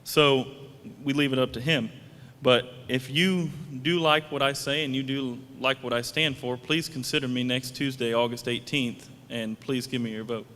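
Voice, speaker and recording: A man's voice: fairly even intonation, fairly bright tone. Plain-spoken man in a hall. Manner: plain-spoken, halting with restarts and fillers. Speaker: county commission candidate. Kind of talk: candidate debate answers. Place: event hall, PA sound system. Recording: long hall reverb, faint rumble.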